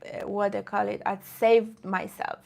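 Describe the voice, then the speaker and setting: A woman's voice: mid-range voice, little pitch movement, measured pace, vocal fry, a little mellow. A woman from Iran who streams about fitness, chatting casually with viewers in a rambling way and often breaking off to greet commenters.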